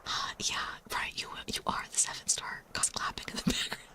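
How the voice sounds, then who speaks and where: A woman hosting an ASMR livestream, whispering breathily and close to the mic in a playful, rambling, apologetic way. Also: moderate pace. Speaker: livestream host.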